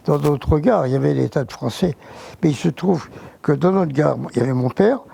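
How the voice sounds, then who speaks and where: An older man recalling his childhood in an interview, halting, with many false starts. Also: on the high side, creaky, warm tone, breathy edge. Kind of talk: reminiscing interview.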